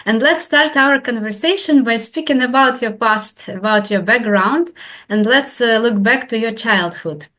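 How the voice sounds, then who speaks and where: Higher-voiced woman hosting an interview. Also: moderate pace, a bit bright. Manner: polite, formal, with hesitant questions. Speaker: podcast host.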